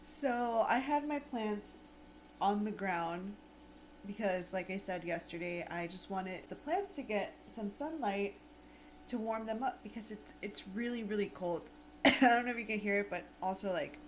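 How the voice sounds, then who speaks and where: A woman in her thirties chatting casually at home in a rambling vlog, full of "like" fillers, her throat a little raspy. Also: mid-range voice, lively intonation, a little slow, a little hiss or hum, low-quality recording. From United States. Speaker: lifestyle vlogger. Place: home, indoors.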